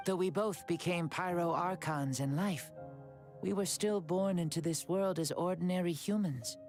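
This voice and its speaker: Woman voicing a low, boyish ancient hero. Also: natural voice. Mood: solemn, gentle. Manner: formal, gentle, measured. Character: ancient hero reborn as a serene young boy. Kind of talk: anime video game voice acting. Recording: faint music behind.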